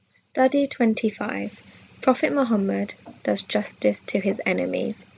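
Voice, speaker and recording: Creaky-voiced woman reading a religious study. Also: medium pitch, a little slow, a little mellow, dull audio, slight background noise. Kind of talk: scripted religious lesson read aloud.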